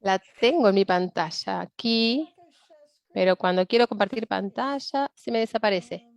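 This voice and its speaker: A woman interpreting a panelist's talk into Spanish in a steady first-person delivery. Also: mid-range voice, normal speed, creaky, no room sound. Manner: steady first-person rendering of another speaker.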